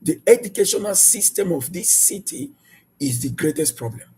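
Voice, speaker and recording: A man preaching a sermon emphatically, repeating rhetorical questions and jumping into sudden high bursts of emphasis. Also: sing-song, normal speed, mellow, slightly rough.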